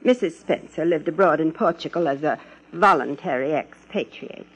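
Proper secretary, earnest, husky and pretty low voice, old radio drama. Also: female voice, moderate pace, poor audio. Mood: formal, informative. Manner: formal, precise, careful. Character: prim, loyal female law-office secretary, earnest and careful. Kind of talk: 1950s radio drama acting.

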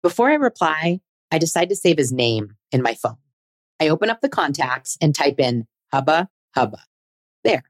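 A woman in her forties narrates her dating life with a low voice, in a candid, chatty and self-deprecating way. The sound is dry and close, with no room echo. Her scripted storytelling still sounds personal. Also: big pitch swings, moderate pace. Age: 40s. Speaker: podcast host.

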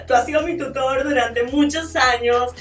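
A woman's voice: sits high, fairly bright tone, distant mic. Effusive female guest, echoey room. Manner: effusive, affectionate, laughing. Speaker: guest, doctor and health coach. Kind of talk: casual chat.

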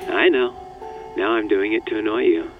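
An actor playing a protective, teasing older brother in an audio drama, dry and evasive, heard over a phone filter. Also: male voice, on the low side, normal speed, over music. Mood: teasing, guarded. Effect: phone call filter. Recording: narrow, tinny phone line.

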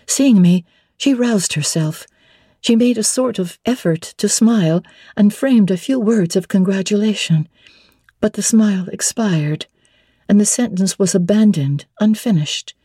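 A woman narrates a literary novel in a measured, expressive way. Her tone is mellow and a little soft-edged, recorded dry and close. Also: mid-range voice, slightly rough, no echo. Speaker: narrator and host reading a classic novel. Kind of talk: dramatic first-person literary narration.

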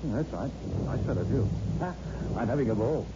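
An actor as a breezy husband, very noisy recording. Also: male voice, medium pitch, lively intonation, a little fast, lightly acted. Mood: breezy, dismissive. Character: jovial, attentive, dismissive husband on the road. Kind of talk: 1960s radio suspense drama acting. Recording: dull, very noisy old radio recording.

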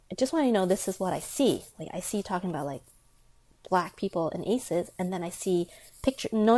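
Low-voiced woman musing aloud, dry sound. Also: darkish tone, dry recording. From United States. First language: Thai Chinese. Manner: earnest, thinking aloud with many fillers and restarts. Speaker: podcast host. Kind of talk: solo reflective monologue.

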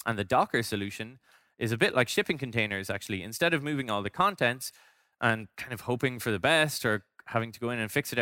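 A man's voice: mid-range voice, bright, forceful tone, smooth, no room sound, studio quality. A man giving a prepared presentation as a design director, explaining things with conversational asides. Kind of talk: prepared conference-style presentation.

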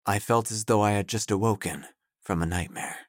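Man acting a brooding, low-voiced gothic narrator. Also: brisk, dry recording, clean studio sound, close to an everyday voice. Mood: ominous, awed. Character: melodramatic male obituary writer, brooding and self-important. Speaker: narrator and protagonist. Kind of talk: first-person audio drama narration, gothic parody.

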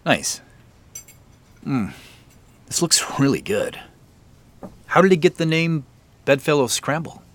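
An actor playing a polite, unsure man in an audio drama, speaking in a halting, low-key way. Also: big pitch swings, fairly bright tone, full character voice. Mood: appreciative, curious. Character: hesitant, polite man out exploring, privately unsure. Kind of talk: naturalistic audio drama acting.

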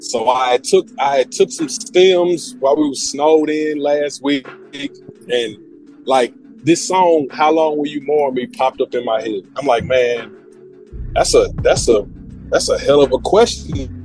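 A man in his thirties, a podcast guest, talking casually and reflectively, thinking aloud in slangy, halting phrases. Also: mid-range voice, a little mellow, rough recording. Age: 30s. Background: music.

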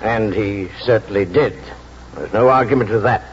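A man's voice: lowish voice, moderate pace, lightly acted. A man playing a blustery, outspoken racing steward in a 1940s radio drama style. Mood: blustering. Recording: dull, hissy 1940s radio recording.

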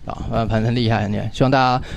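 A man's voice: mid-range voice, fairly even intonation, rapid-fire, gravelly, slight background noise. A man with a Taiwanese Mandarin accent hosting a casual radio show, chatty but hesitant, often repeating himself. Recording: music playing behind the voice. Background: music.

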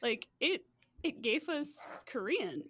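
A woman's voice: high-pitched, big pitch swings, takes it slowly, a bit bright, husky, no room sound. A woman hosting a podcast in casual, chatty banter, often giggling as she talks. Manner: giggly, laughs often while talking. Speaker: podcast host.

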